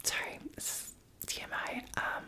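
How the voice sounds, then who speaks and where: A woman's voice: normal speed, pushed and bright. A young woman whispering a long personal story in a chatty, self-mocking way.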